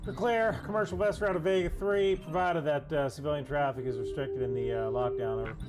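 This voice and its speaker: A man's voice: on the high side, a little fast, natural voice. A man playing a busy, detached military space pilot in clipped, distracted radio talk with hesitations. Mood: distracted, businesslike.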